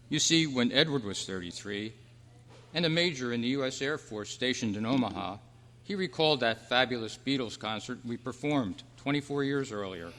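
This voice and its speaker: A man's voice: mid-range voice, sharp tone, close to an everyday voice. A candid, regretful young man acted by a man talks casually, with some hesitation. He is in a radio-style play, heard with long hall reverb. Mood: nostalgic. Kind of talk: old-time radio style stage acting. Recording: echoey hall at a club meeting.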